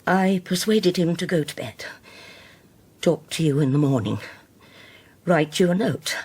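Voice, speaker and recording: An actress playing a grave, devout older Scottish widow in a radio drama, speaking solemnly and haltingly. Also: female voice, fairly low pitch, a little mellow, husky. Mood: anguished, resolute. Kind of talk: naturalistic radio drama acting.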